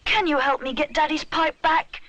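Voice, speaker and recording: A woman's voice: high-pitched, fairly even intonation, moderate pace, fairly bright tone, lo-fi sound, a little hiss or hum, voice pushed up. A woman voicing a kindly, earnest young boy bear in a children's story, with an anxious, exclaiming manner.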